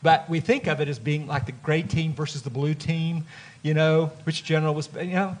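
A man's voice: on the high side, fairly even intonation, moderate pace. A man with a Southern US accent, an author speaking earnestly on a panel at a live event. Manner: earnest, explanatory. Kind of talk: panel talk. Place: festival event room.

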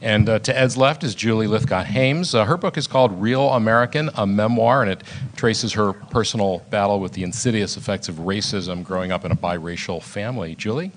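A man moderating a live book festival panel, relaxed and with frequent "uh" fillers. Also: lowish voice, fairly quick, slight background noise. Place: festival event room.

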